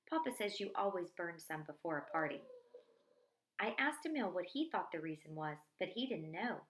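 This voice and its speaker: A woman reading a diary-style story aloud in an expressive narrating style, acting out the dialogue. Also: medium pitch, slight background noise. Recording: echoey room.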